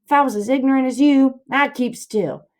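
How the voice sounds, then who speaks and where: A woman's voice: high voice, normal speed, voice pushed up, natural voice. A woman voicing a bossy, grandiose boy schemer in a storybook reading, lecturing scornfully in rustic dialect. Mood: exasperated, scornful.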